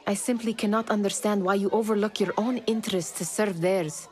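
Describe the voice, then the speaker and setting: A woman's voice: medium pitch, fairly even intonation, a little fast, darkish tone, a faint crowd, natural voice. A woman playing a proud, sardonic young thief in a video game, speaking in a pointed, scolding manner. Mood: reproachful. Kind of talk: dramatic video game acting.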